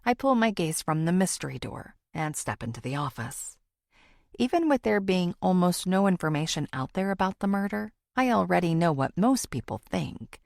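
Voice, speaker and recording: A determined amateur female investigator thinks her way through a thriller audiobook. Her voice is slightly low with a creaky edge, moving expressively through a wide range as she reflects. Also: moderate pace, no echo, studio quality. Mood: pensive, determined. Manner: expressive, introspective. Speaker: audiobook narrator. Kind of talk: first-person thriller audiobook narration.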